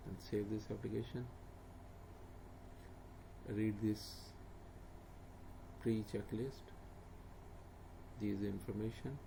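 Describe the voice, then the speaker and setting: A man's voice: fairly low pitch, steady tone, takes it slowly, gravelly, pauses often. A man talks through an online form step by step, plainly and instructively, with frequent fillers. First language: not English. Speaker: tutor. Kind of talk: screen-recorded walkthrough. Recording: noisy home recording.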